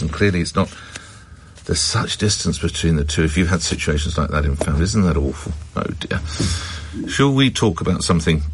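A man's voice: low voice, a little hiss or hum. A man hosting a radio phone-in, chatting casually and reacting to his guests with sympathy. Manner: responsive, interjecting, sympathetic.

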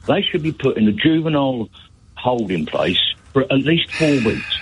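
Older man, 70s, radio caller, very husky, lively pitch, blunt, repeats himself, phone line. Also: moderate pace. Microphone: telephone.

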